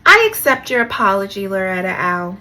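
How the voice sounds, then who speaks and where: A woman's voice: mid-range voice, big pitch swings, brisk, sharp tone, faint music behind, voice pushed down, rough recording. A woman voicing a young storybook toad schoolgirl, earnest and frank, easily hurt but forgiving.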